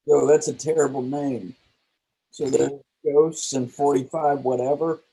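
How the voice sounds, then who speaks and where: A man's voice: mid-range voice, fairly even intonation, a little slow, creaky, mellow, slightly rough, poor audio. A man playing a laid-back, slangy young redneck drug dealer in a live table read, blunt and unimpressed. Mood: curious.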